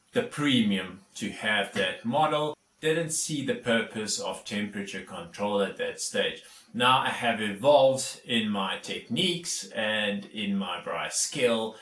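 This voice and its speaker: A man's voice: lowish voice, fairly bright tone, echoey room, distant mic. A South African man whose first language is Afrikaans, hosting a casual DIY vlog and explaining each step with plenty of fillers. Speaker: YouTube DIY host.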